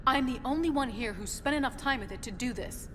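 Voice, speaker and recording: A woman's voice: high voice, brisk, a bit bright. A brave, stubborn young woman researcher in a dramatic game. Her delivery is earnest and insistent, in a reverberant room with a faint ambient hum. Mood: insistent, defiant. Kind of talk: dramatic video game acting.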